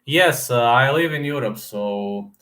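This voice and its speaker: A man's voice: medium pitch, lively intonation, measured pace, fairly bright tone. A man from Serbia with a Serbian accent, hosting a casual live stream, answering chat with lots of fillers and repeated words. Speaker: livestream host reading chat.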